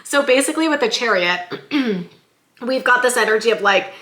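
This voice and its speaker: A woman giving a weekly tarot love reading in a chatty, lively way, her voice going a little hoarse. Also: a little high, moderate pace, echoey room. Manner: chatty, lively, says "like" and "you guys" often. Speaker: tarot reader.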